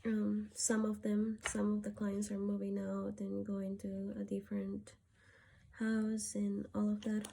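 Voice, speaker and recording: A woman from Peru talking through a personal gratitude list, softly and hesitantly, with many pauses and fillers. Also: mid-range voice, completely flat delivery, gravelly, a little hiss or hum. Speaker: vlogger.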